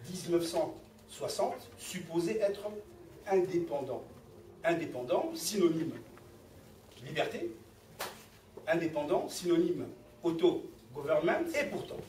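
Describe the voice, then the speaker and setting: A man's voice: fairly high pitch, darkish tone. A man with a Congolese accent giving an emphatic, rhetorical political speech in a didactic way. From Democratic Republic of the Congo. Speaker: political speaker, activist. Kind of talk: impassioned political speech to a gathering. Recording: distant, echoey room with background noise.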